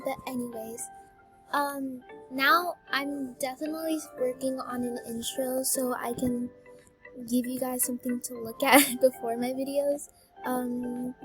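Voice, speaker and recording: A teenage girl chatting casually while she plays a game, high-pitched and bubbly, with lots of ums. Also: low-quality recording. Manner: bubbly, full of filler ums, exclaims at game fails. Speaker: gaming YouTuber. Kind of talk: casual voice-reveal chat while gaming.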